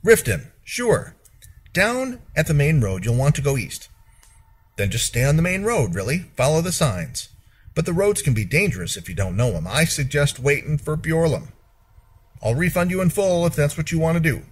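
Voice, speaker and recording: Man putting on a higher-pitched, animated stable hand. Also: moderate pace, slightly rough. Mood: helpful, cautioning. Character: male stable hand selling horses, brisk and helpful.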